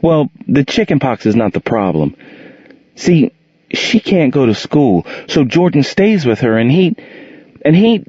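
Man voicing a frightened pleading boy, raised pitch, husky, dry. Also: very animated, a little mellow, no echo, highs cut off. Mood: desperate. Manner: halting, pleading. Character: scared boy pouring out a secret.

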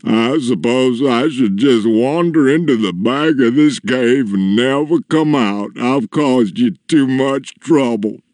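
A man as a slow, ponderous storybook giant. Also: mid-range voice, darkish tone, dry recording, pitched above the performer's own voice, natural voice. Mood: sorrowful, pleading. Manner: slow, drawn-out, ponderous delivery. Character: huge grimy male giant, menacing yet lonely and sorrowful. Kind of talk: storybook character voice.

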